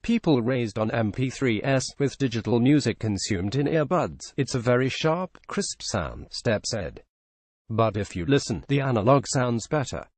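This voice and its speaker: A man narrates a written feature in a measured, journalistic way. His mid-pitched voice is recorded dry and close, with no room sound. The delivery is clearly scripted, read straight from the page. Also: expressive, darkish tone, dry recording. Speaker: narrator reading a feature article.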